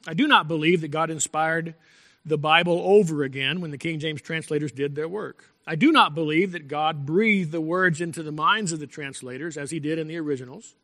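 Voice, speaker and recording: A male pastor preaching a sermon in a deliberate, emphatic way, repeating key phrases and clearing his throat now and then. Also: on the high side, bright, forceful tone. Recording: long echo from a large hall.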